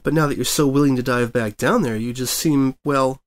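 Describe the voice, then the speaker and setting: A man putting on a soft, meek young mare, gentle and supportive, raised in pitch but kept quiet. She sounds sweet and a little timid. A storybook pony voice. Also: fairly quick, natural voice. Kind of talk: fanfic audiobook reading.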